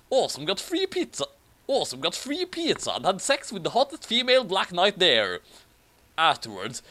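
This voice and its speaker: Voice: medium pitch, fairly bright tone. A person reading a story aloud for comedy and chatting casually about it, often going back over flubbed lines. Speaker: fan fiction reader and commentator.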